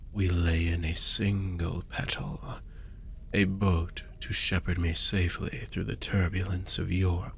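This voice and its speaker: A man playing a suave, possessive vampire fortune teller in an intimate audio roleplay, speaking formally and theatrically with breathy murmurs. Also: sits low, a little hiss or hum, full character voice. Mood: solemn, mystical. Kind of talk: intimate scripted audio roleplay addressed to the listener.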